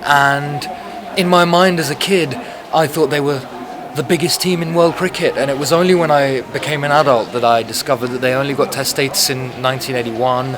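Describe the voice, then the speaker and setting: A man's voice: medium pitch, fairly even intonation, fairly quick, background noise. A man in his thirties, an author being interviewed, talking casually and thoughtfully with many fillers. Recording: crowd chatter behind.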